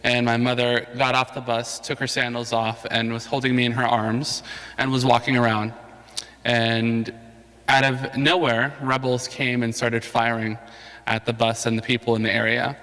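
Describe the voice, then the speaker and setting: Man at a forum, bright crisp voice, reverberant hall. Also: mid-range voice, flat delivery, moderate pace. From Somalia. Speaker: campaign surrogate speaker. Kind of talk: personal story at a public forum.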